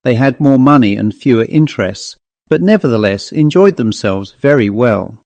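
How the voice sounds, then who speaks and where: A man reading a classic ghost story as an audiobook narrator, in a measured, literary manner. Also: medium pitch, lively intonation, a little mellow, no echo, highs cut off.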